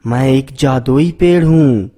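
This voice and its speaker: A man voicing a wise, helpful magical talking tree that speaks in a booming, commanding way, pitched well above his own voice. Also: slow pace, warm tone, close to an everyday voice.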